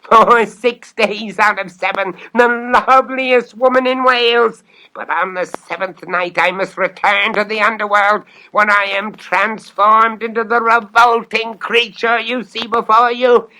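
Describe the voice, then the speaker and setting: A hideous old witch, acted out by a man, shrieking high and loud with mocking menace. The voice is shrill and piercing, full of vengeful scorn, as in a theatrical horror storybook. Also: steady tone, a little slow, heavily acted, poor audio. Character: hideous toothless hag witch, vengeful, mocking fairy queen.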